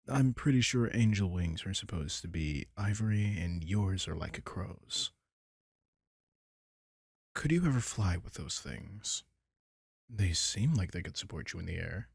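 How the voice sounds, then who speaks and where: A man playing a caring, wry vampire king in an intimate comfort roleplay audio drama, talking casually and softly with dry teasing. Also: deep voice, lively intonation, unhurried, vocal fry, husky, no room sound, heavily acted. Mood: playful teasing.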